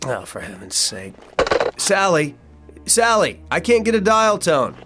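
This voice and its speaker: A man's voice: fairly high pitch, wildly swooping pitch, measured pace, music in the background. An actor playing a married businessman and father who grows bewildered, in a radio drama. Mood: alarmed, agitated.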